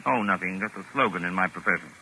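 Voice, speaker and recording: An actor playing a wry, cool-headed doctor in a 1940s radio thriller, speaking in an urbane, calculating manner. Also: male voice, deep voice, quick pace, sharp tone, breathy edge, exaggerated voice, low-quality recording. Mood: cool defiance. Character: wry, cool-headed male doctor held among gangsters. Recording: old 1940s radio broadcast recording.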